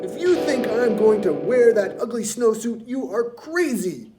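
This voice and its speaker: A woman voicing a stubborn, defiant little boy in a storybook reading, shouting his refusals. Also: on the high side, expressive, a little slow, distant mic, over loud music. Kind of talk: storybook read-aloud.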